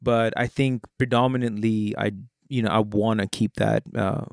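A man's voice: on the low side, normal speed, vocal fry, dry recording, studio quality. A young man from India, a rapper and producer whose first language is Hindi, speaking in a casual interview with a mixed Indian English accent and lots of "you know" and "like". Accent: Indian English with British and American traces. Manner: relaxed and conversational, fillers like "you know" and "like". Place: Oklahoma.

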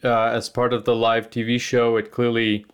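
A man's voice: mid-range voice, monotone, moderate pace, smooth. A man interviewing a musician, asking questions with some hesitation and restarts.

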